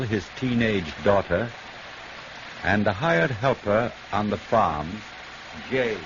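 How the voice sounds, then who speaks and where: A man narrates an old radio drama with solemn theatrical weight. His voice is fairly deep and very measured. The recording is dull, with audible noise.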